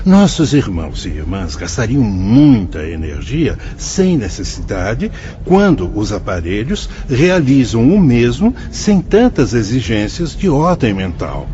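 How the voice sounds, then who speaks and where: A calm, wise spirit instructor. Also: male voice, medium pitch, a little hiss or hum. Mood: patient, instructive. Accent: Brazilian Portuguese. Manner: measured, patient and explanatory. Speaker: spirit guide explaining the colony. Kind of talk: radio drama acting. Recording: dull, muffled sound.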